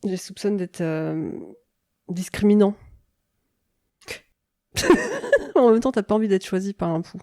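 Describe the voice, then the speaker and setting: A woman in her forties chatting casually on a podcast, relaxed and joking. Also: mid-range voice, a little slow, long pauses, no echo, studio quality. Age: 40s. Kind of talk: casual chat.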